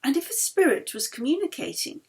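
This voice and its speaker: A woman reading her own novel aloud as an expressive audiobook narrator, with a slightly husky voice. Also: high-pitched, fairly quick.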